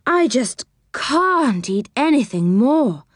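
A woman's voice: high voice, very animated, slow, no room sound, clean studio sound, pitched above the performer's own voice, heavily acted. A woman voicing a dainty, frank female companion in a children's story, plaintive and emphatic. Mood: overfull, regretful.

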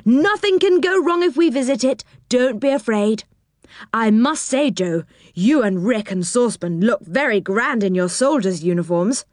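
A woman's voice: a little high, expressive, moderate pace, no echo, pitched above the performer's own voice, lightly acted. A cheerful, reassuring storybook tree dweller, voiced by a woman reading aloud, hearty and kind. The voice is lifted slightly above her plain narration and recorded dry and close. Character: cheerful, kindly moon-faced male tree dweller.

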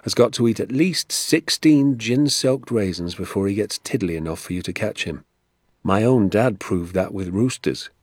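A man's voice: fairly low pitch, animated, moderate pace, darkish tone, no room sound, clean studio sound. A man voicing a roguish country father in an audiobook, with earnest, storytelling emphasis. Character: warm-hearted country father, a roguish poacher. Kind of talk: acted audiobook dialogue.

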